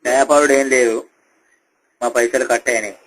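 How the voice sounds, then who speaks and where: A man's voice: medium pitch, fairly even intonation, normal speed, a bit bright, a little hiss or hum. A man with a Telangana Telugu accent on a phone call, complaining to a helpline in a repetitive, argumentative, foul-mouthed way. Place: telephone call.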